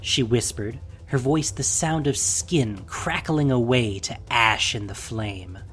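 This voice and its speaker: A man narrating a scripted fantasy audio drama in a dramatic, deliberate way, with a breathy voice. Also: mid-range voice, a bit bright.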